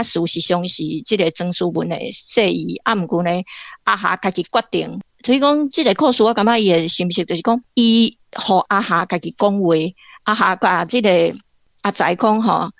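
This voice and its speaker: Creaky-voiced Taiwanese woman giving commentary. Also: medium pitch, dry recording. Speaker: guest literature professor. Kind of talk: informal literary commentary.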